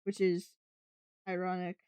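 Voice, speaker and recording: A woman's voice: lowish voice, monotone, unhurried, mellow, clean tone, no room sound. A woman from New England chatting casually on a livestream in a put-on British accent, rambling in a chatty, giggly way. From New England, United States. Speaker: live streamer. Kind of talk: casual livestream chat with viewers.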